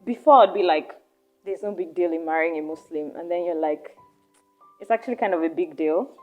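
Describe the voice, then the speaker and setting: A young woman from Nigeria hosting a casual podcast chat, animated and chatty, often trailing off and restarting her thoughts. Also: on the low side, brisk, phone-quality sound, faint music behind. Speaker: podcast host.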